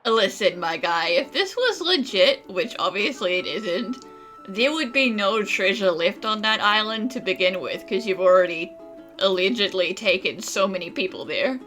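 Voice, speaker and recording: A woman's voice: mid-range voice, expressive, normal speed, a bit bright. A woman gaming streamer giving casual, wisecracking commentary with a sarcastic streak. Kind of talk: casual joking game commentary. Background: game music.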